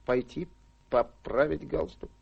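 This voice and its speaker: An actor in a radio play voicing a pompous, bashful, gluttonous young gentleman who blusters. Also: on the high side, slow, vocal fry, husky, dull audio, exaggerated voice. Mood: flustered. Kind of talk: theatrical radio play acting.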